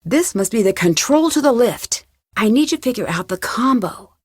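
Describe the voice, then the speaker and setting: A woman voicing a curious, determined young female detective in an adventure game, talking to herself in clear, purposeful scripted lines. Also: on the high side, animated, moderate pace, no echo. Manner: clear self-talk, inquisitive and purposeful. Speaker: game protagonist.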